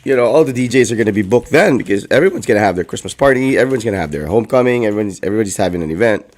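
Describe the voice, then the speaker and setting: A man in his thirties, still a bit hoarse, co-hosting a casual talk show and rambling with lots of "like" and "you know". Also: lowish voice, animated, very fast. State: hoarse, recovering from a lost voice. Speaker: co-host. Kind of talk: casual chat.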